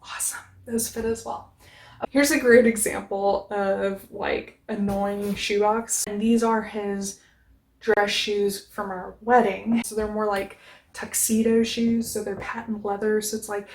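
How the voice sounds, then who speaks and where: A young woman vlogging casually about organizing shoes, upbeat and chatty with a booming voice, recorded on a camera mic in a small bedroom. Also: flat delivery, unhurried. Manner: chatty, upbeat, says she has a booming voice. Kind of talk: casual how-to vlog. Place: small bedroom with shoe bins. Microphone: camera mic, close then from across the room. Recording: echoey room.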